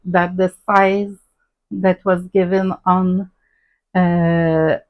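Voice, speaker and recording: A woman with a Québécois French accent, hosting a casual crafting vlog and talking in a halting way with many ums. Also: fairly low pitch, highs cut off. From Quebec, Canada. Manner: halting, many ums and uhs, soft-spoken. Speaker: crafting channel host.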